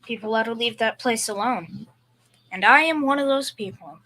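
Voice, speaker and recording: Young female performer as cowardly blustering father, folksy slang, bright edgy tone, old-time radio comedy. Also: fairly high pitch, moderate pace. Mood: uneasy. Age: young teen or child. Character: blustering, secretly scared father in radio sitcom.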